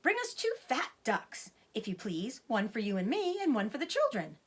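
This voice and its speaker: Woman reading as a caring, lively mother fox. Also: on the high side, moderate pace, slightly rough, voice pushed up, heavily acted. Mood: pleasant. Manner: gently instructing, concerned. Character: caring, careful female fox, wife and mother.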